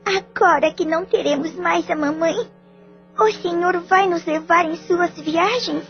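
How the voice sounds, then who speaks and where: A woman's voice: very high voice, steady tone, unhurried, creaky, heavily acted. A woman voicing a young grieving girl who speaks in a small, plaintive, pleading way. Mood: sad. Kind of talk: audio drama acting. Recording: dull lo-fi audio with faint music.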